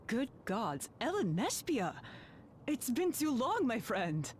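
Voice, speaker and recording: A woman's voice: a little high, very animated, slow, slight background noise. A woman voicing a middle-aged village woman in a game cutscene who speaks hesitantly, unable to recall her past. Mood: friendly. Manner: hesitant, searching for lost memories. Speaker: game character.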